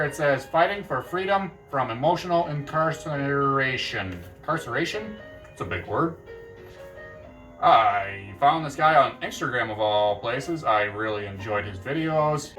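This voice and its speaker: A man chatting casually to camera about books, animated and quick to jump up in excitement. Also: recorded from a distance, soft background music. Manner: animated, jumps up in pitch with excitement. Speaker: book vlogger.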